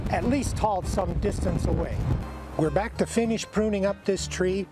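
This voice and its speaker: High-pitched folksy American man teaching. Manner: folksy, plain-spoken. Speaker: extension educator, presenter. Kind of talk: instructional demonstration.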